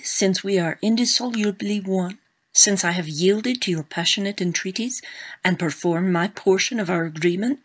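A woman voicing a vain elderly French woman with a French accent, who speaks broken English slowly and primly. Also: medium pitch, close to an everyday voice. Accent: French (put on). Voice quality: broken English, slow and mincing.

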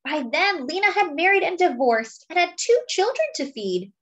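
A woman reading a picture book aloud, with expressive, dramatic phrasing. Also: extremely high pitch, normal speed, muffled recording. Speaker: storybook reader.

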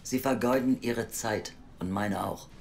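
An actor playing a stern, moralising adoption official who questions formally and reproachfully in an audio drama. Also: male voice, medium pitch, a little slow, creaky, slight background noise. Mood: disapproving. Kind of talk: naturalistic German audio drama acting.

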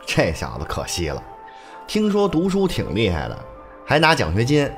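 Casting: male actor as talkative, familiar gangster sidekick, mid-pitched and animated, for radio drama. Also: moderate pace, music in the background. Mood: sardonic, suspicious. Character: loyal, talkative male gang henchman, cocky and familiar. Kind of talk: audio drama acting.

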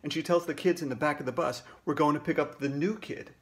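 A man's voice: a little high, fast talker. A man in his sixties, a children's author, casually explaining trivia to kids.

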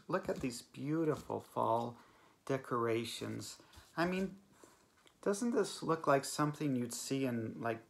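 A man in drag hosting a spoof cooking show in a deadpan, mock-sincere gushing manner, seated at a table in a small room. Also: medium pitch, vocal fry. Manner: mock-sincere, gushing over cheap goods. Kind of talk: deadpan parody cooking demo.

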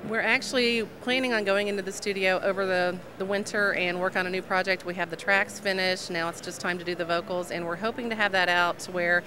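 A middle-aged woman gospel singer answering briskly and matter-of-factly into a handheld mic. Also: medium pitch, fairly even intonation. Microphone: handheld mic held by host. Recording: noisy convention hall.

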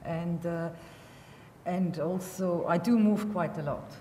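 Woman, filmmaker, hesitant panel answer, low voice, long hall reverb, faint noise. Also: slow pace, dark tone. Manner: hesitant, thinking aloud, with many fillers. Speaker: documentary filmmaker on a festival panel. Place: large hall at a panel table.

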